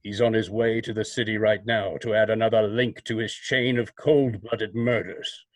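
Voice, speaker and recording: A man playing a mysterious, coolly accusing vigilante with measured, formal, sardonic delivery in old-time radio drama style. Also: lowish voice, little pitch movement, no echo, lightly acted.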